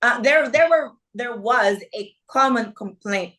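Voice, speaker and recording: A woman's voice: a little high, a little slow, fairly bright tone. A woman, a fashion designer from the Philippines, talking casually in an interview. She tells her stories vividly, acts out quoted dialogue and often checks in with "okay?" and "right?".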